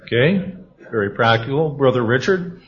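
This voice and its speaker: A man leading a church panel discussion, reading scripture and calling on others by name in a measured way. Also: medium pitch, lively intonation, slightly rough, lo-fi sound. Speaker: discussion leader.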